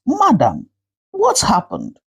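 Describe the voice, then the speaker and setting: A woman's voice: sits high, huge pitch swings, slow pace, vocal fry, voice pushed up, heavily acted. A woman voicing a concerned, polite neighbour mother in a story, earnest and careful. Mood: alarmed.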